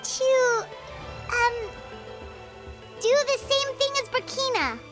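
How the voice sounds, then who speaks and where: A tiny, bubbly fairy girl chatters in an extremely high, squeaky voice that bounces all over the place. She talks about herself in the third person. Loud game music plays behind her. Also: animated, takes it slowly, exaggerated voice. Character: tiny excitable floating fairy companion girl.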